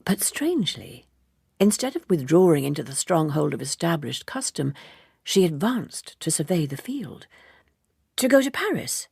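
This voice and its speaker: A woman narrates a literary novel in a low register. Her voice is heavily creaky and husky, and she tells the story in a measured, expressive way, recorded very dry and close. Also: no room sound. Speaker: audiobook narrator.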